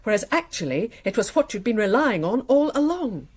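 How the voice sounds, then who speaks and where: A woman's voice: a little high, big pitch swings, a little fast, slightly rough. A woman acting in a naturalistic radio drama as a betrayed wife, sceptical, pointed and bitterly sarcastic. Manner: sardonic, accusing, pointed.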